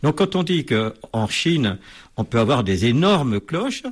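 A man's voice: mid-range voice, very animated, measured pace, husky. A man being interviewed as a bell expert, explaining at length with many hesitations and restarts.

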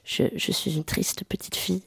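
A young woman singer-songwriter answering interview questions, speaking softly with many hesitations and restarts. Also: moderate pace. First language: French. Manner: soft-spoken, hesitant, many fillers and restarts. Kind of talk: radio interview conversation.

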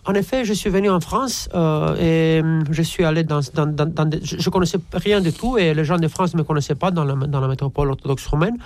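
A man from Romania, an Orthodox priest answering interview questions haltingly and repeating words as he searches. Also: a little high, darkish tone.

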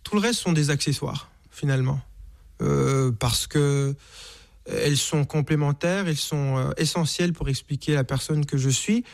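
Singer-author guest, forties, slightly high gravelly voice, halting and reflective. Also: male voice. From Rwanda. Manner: hesitant, many fillers and repeated words. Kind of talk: radio interview, reflective and personal.

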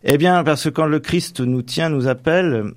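A middle-aged man from Normandy giving reflective, halting interview answers, often tagging the ends of his phrases. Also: on the high side, no echo, clean studio sound. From Manche, Normandy, France. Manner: hesitant, halting, frequent tags at phrase ends.

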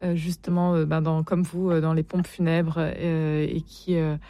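A woman hosting a live radio phone-in, speaking into a studio microphone with hesitant, halting phrasing. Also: low-pitched, flat delivery, mellow, dry recording. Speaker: radio call-in host.

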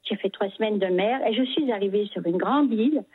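A woman's voice: mid-range voice, no room sound. Older woman on a muffled phone line. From Paris, France. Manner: halting, phrases broken into short pieces. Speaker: phone-in caller. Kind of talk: personal testimony, reminiscing. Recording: muffled telephone line, husky sound.

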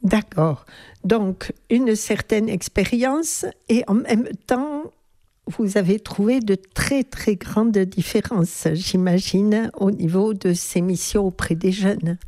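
A woman interviewer speaks slowly, feeling her way through each question with repeated fillers. Her tone is soft and mellow. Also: medium pitch. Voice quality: searching, filler-heavy questions.

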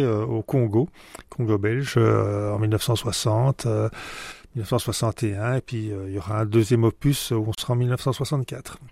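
A man's voice: on the low side, warm tone, no room sound. A man from Belgium, an author answering radio interview questions, hesitant with many fillers and restarts.

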